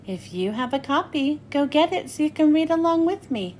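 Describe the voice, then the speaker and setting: A woman reading a picture book aloud for children, in an expressive, sing-song storytelling manner. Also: high-pitched, normal speed, slight background noise.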